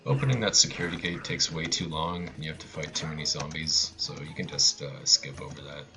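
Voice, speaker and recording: A man's voice: rumbling low, a little fast. A man giving casual, offhand live commentary as he speedruns a video game, with a wry touch.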